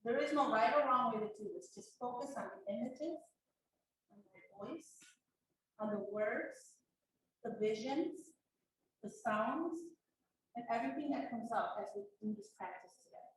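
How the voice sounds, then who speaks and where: Woman, coalition organizer, calm contemplation guide, slightly high voice, distant mic in an echoey hall. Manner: slow, soft, calming counted breathing. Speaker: faith-based coalition organizer. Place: event hall. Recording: distant, echoey room with faint noise.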